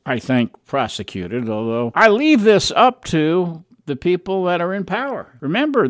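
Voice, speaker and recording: Male talk radio host, worn-out strained voice, emphatic and repetitive, mid-range pitch, dry studio sound. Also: vocal fry, dry recording. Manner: emphatic, repeats phrases for stress. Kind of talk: solo political commentary.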